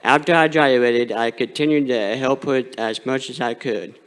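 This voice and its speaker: A man's voice: medium pitch, steady tone, normal speed, smooth. Earnest man reading his thank-you speech. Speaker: award recipient.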